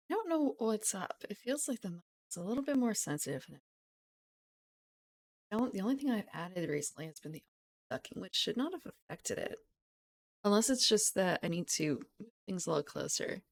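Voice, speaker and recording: A woman streamer chatting casually with her viewers, rambling, giggling and correcting herself, her voice still croaky from just waking up. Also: medium pitch, lively intonation, normal speed, long pauses. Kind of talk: casual live-stream chat.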